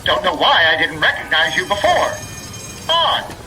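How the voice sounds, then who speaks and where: A man playing a showy fairground raffle barker in a video game, with effusive, flattering patter. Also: sits high, a little slow, a little hiss or hum, exaggerated voice, rough recording. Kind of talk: theatrical video game acting. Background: music.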